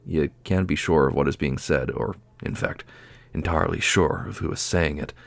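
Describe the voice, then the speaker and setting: A man playing a solemn, formal guardian of mystical obelisks in a fantasy audio roleplay, with a gravelly, breathy, measured voice. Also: rumbling low, no room sound.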